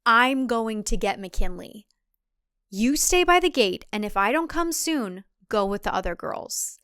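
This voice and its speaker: A woman acts out a defiant young heroine in an audio thriller. She speaks in a firm, controlled way with cold resolve, her voice clear and closely recorded. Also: very animated, a little slow, a bit bright, dry recording, clean studio sound. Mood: urgent, firm. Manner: low, controlled, firm delivery.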